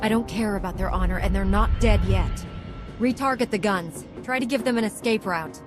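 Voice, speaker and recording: A woman playing a resolute, no-nonsense Jedi commander in a video game, speaking firmly and with measured authority. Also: medium pitch, close to an everyday voice. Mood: urgent, stern. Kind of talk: video game cutscene acting. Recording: music behind.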